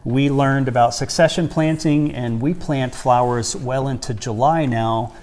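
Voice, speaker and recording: Plain-spoken man, medium pitch, giving advice. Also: a little slow, a crowd in the background. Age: 40s. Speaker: flower farmer and co-host. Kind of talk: advice chat to camera. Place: small wood-panelled room indoors by a stove. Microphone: lapel mic.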